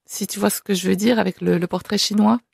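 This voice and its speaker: A woman's voice: medium pitch, fairly even intonation, fast, creaky, darkish tone, breathy edge, dry recording, studio quality. A woman hosting an interview, asking questions in a friendly way and laughing often. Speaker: interviewer host.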